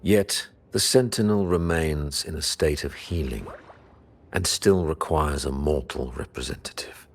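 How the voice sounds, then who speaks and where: An actor playing a grave, commanding religious leader in a video game, speaking in formal, zealous oratory. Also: male voice, a bass voice, a little slow, full character voice. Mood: solemn, reverent. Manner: solemn, oratorical, formal diction. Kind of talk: dramatic video game voice acting. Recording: loud orchestral music behind. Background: loud game music.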